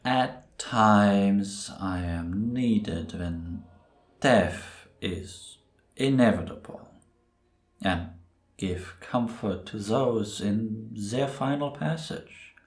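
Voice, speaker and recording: Man voicing a deep, very slow, solemn Death. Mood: solemn, probing. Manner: measured, grave, deliberate, cryptic. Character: solemn, gentle male Death, a reaper who was once human. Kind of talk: cinematic audio roleplay acting. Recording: roomy, reverberant sound.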